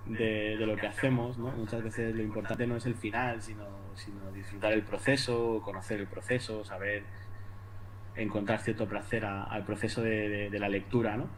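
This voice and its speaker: A man with an accent from Spain giving an informal academic presentation, haltingly, repeating words and adding little tag questions. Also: lowish voice, flat delivery, a crowd in the background, low-quality recording. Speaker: university lecturer presenting at an academic conference. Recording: noticeable background noise.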